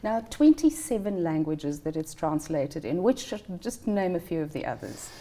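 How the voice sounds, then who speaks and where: A woman's voice: deep voice, very animated, normal speed, warm tone. A woman hosting a book interview show, asking questions and giving short encouraging backchannels. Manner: friendly, with brief encouraging backchannels. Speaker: host. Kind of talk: interview conversation.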